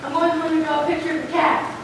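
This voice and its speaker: A young female performer playing an excitable schoolgirl artist in a school readers' theater. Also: high voice, quick pace, close to an everyday voice. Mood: eager. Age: young adult or younger, school pupil. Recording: echoey noisy room, distant mic.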